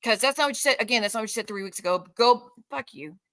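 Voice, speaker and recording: Bright, high-voiced woman in a confrontational rant. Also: fast talker. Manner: confrontational, sarcastic, heavy swearing. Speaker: online streamer addressing viewers. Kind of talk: unscripted live rant, addressing viewers directly.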